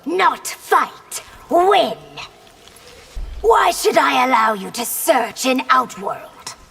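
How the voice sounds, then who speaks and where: A proud warrior empress from a fighting game, high in pitch with a creaky edge. She speaks slowly and sternly, challenging whoever stands before her. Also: very animated, a bit bright, heavily acted. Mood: imperious. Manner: imperious, challenging. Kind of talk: video game character acting.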